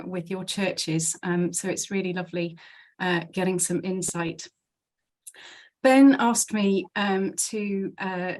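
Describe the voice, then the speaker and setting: A woman from South Wales speaks to a church gathering as a guest speaker. She talks in an earnest, chatty way and works her thoughts out aloud. Her voice sits at an ordinary middle pitch for a woman. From Swansea, South Wales. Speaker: guest speaker from a conservation charity. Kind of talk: conversational talk to a church group.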